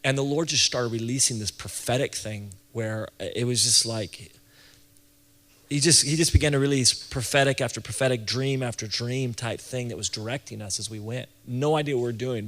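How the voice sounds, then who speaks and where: A man of about forty speaks as a ministry leader teaching a group, with the long reverb of a hall around his voice. His pitch sits in an ordinary middle range. He talks informally and haltingly, doubling back and repeating phrases as he goes. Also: fairly even intonation, fairly bright tone. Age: late 30s to early 40s. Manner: conversational, halting, often restarts and repeats phrases. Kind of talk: informal sermon-style teaching talk to an audience.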